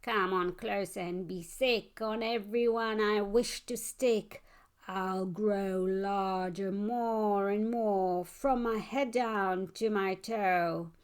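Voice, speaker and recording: Woman voicing a slow, gloating germ monster. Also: medium pitch, fairly even intonation, smooth, lightly acted. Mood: greedy, menacing. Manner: drawn-out moaning, groaning, shrieking menace. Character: gloating, nasty purple germ monster, greedy and menacing.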